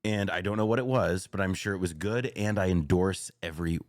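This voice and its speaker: A man's voice: deep voice, fairly quick, no room sound. A man visiting as a guest in casual chat, with dry sarcastic humor. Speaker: podcast guest.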